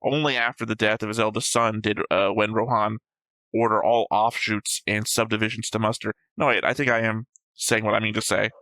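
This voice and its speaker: A man with a bright, sharp voice talks casually as co-host of a discussion show. He is short on sleep, argumentative and often restates his own points. The sound is dry, with little room in it. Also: lowish voice, little pitch movement, a little fast, no echo, poor audio. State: sleep-deprived. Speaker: co-host of an anime reaction show. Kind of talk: casual discussion of episode notes.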